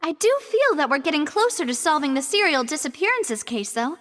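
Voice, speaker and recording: An actress playing a spirited, sentimental young detective in a video game, friendly and expressive in a polite way. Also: female voice, sits high, brisk, in a large hall. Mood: bright, inquisitive. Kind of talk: video game story voice acting.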